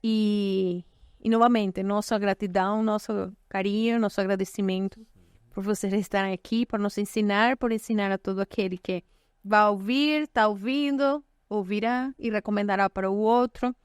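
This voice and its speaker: A woman's voice: medium pitch, fairly even intonation, normal speed, smooth, dry recording. Woman host, chatty and effusive, mid-range voice, dry close mic. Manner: warm effusive thanks, frequent fillers. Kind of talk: casual interview chat.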